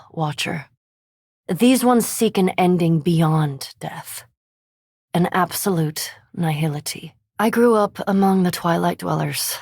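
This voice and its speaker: A haughty, learned female elf vampire from a fantasy video game. Her voice sits low and is captured close and dry, with no room around it. She speaks in formal, exact phrases, edged with dry sarcasm and cool disdain. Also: a little mellow, no echo. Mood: grave, measured.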